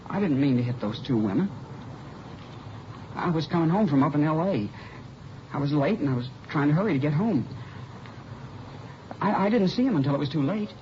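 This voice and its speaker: A man's voice: a little high, lively intonation, brisk, long pauses, lightly acted. Casting: male actor as an uneasy young man under questioning, evasive and pleading, on a noisy 1950s radio recording. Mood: distraught, pleading. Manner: defensive, faltering, pleading. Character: frightened evasive young man cornered as a suspect. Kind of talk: 1950s radio crime drama acting. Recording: muffled vintage radio recording, clear hiss.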